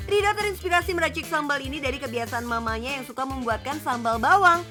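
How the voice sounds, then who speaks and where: A woman's voice: high-pitched, animated, fast talker, pushed and bright. A woman, narrating a scripted TV magazine feature in a playful, chatty way. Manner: playful, chatty, addresses viewers as boss. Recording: music bed under the voice. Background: background music.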